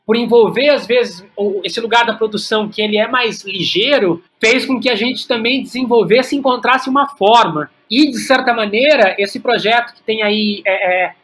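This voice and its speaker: Brazilian man, interview guest, reflective and halting delivery with frequent repeated words. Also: high voice, fairly bright tone. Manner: halting, repeats words while thinking aloud. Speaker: guest playwright and director.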